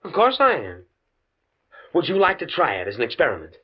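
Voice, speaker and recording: Earnest scholarly man, pedantic phrasing, lively swooping intonation, 1950s radio drama, dull vintage recording. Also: fairly high pitch, fairly quick, slightly rough, heavily acted. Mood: confident, eager. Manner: precise, stuffy, stammers when flustered. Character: earnest, pedantic, naive male university computer scientist.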